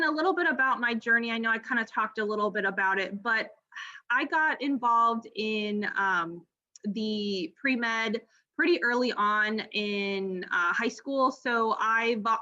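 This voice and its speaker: Bright, friendly woman physician in her thirties, relaxed talk with ums; slightly muffled recording. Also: mid-range voice. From Miami, Florida, United States. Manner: friendly, frequent ums and restarts. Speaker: pediatric gastroenterology trainee presenting. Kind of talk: informal presentation over slides.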